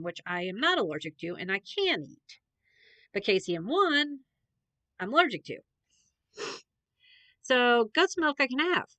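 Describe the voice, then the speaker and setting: A woman's voice: medium pitch, pushed and bright, no echo. A young adult woman, a little congested, chatting in a rambling, meandering way with many fillers as a podcast host. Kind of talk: rambling casual chat.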